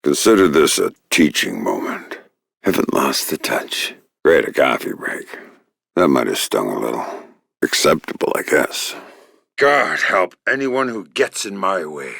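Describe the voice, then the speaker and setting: An actor playing a gruff, wry, middle-aged covert agent in a video game, speaking tersely in a gravelly, often breathy growl with deadpan sarcasm. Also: male voice, low voice, dry recording, clean studio sound, heavily acted. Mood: low, deadpan, then angry, menacing.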